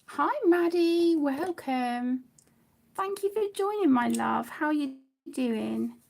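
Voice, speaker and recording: A middle-aged woman chatting casually on a livestream, affectionate and apologetic, with phrases that trail off softly. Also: high-pitched, expressive, slow, creaky. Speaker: livestream host of an adult colouring channel. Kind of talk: casual livestream chat while colouring.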